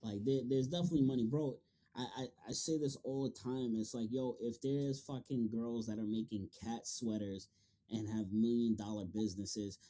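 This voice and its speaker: A man's voice: mid-range voice, fairly even intonation, normal speed, mellow, low-quality recording. A man hosting a casual interview, talking in an emphatic, slangy way and swearing freely. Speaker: interview host. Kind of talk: casual, profanity-heavy podcast chat.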